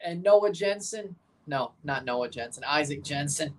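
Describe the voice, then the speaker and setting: A woman's voice: deep voice, animated, a little slow. A woman hosting a livestream watchalong, giving casual live football commentary and chatting with viewers, often repeating and correcting herself.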